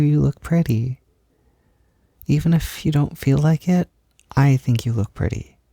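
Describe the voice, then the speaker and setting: A man playing a tender, encouraging partner in an intimate roleplay audio, speaking softly in a gentle, hesitant, reassuring way. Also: fairly high pitch, dry recording, clean studio sound, heavily acted. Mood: soothing, tender. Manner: gentle, reassuring, hesitant, with breathy whispered moments. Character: tender, encouraging man comforting partner while doing makeup. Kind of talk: intimate roleplay audio, soft ASMR talk.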